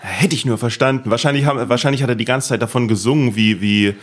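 A man's voice: mid-range voice, steady tone, quick pace. A man hosting a podcast, interviewing a guest in casual chat, telling rambling, self-mocking stories. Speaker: podcast host.

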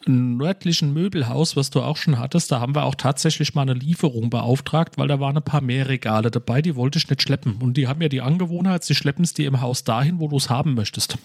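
A man's voice: mid-range voice, fast talker, few pauses, darkish tone, breathy edge, dry recording, studio quality. A man chatting casually as a podcast co-host, telling anecdotes in a colloquial, wry way.

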